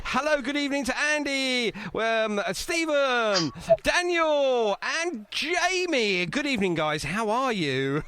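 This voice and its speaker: A man's voice: very high-pitched, animated, slow pace, hardly any pauses, bright, forceful tone, slight background noise. A man hosting a live radio show in a casual, jokey, rambling way.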